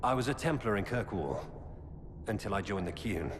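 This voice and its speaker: An actor playing a weary, earnest former knight turned defector, giving grave, urgent warnings in a video game. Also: male voice, lowish voice, expressive, moderate pace, close to an everyday voice. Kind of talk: dramatic video game dialogue. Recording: cavernous reverb with loud background noise.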